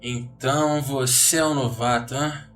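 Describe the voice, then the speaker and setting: A man's voice: mid-range voice, fairly even intonation, measured pace, a bit bright, far from the mic, faint music behind, natural voice. A man voicing a contemptuous, jaded man in his thirties who mocks newcomers with weary scorn. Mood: sneering contempt. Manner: sneering, mocking, world-weary.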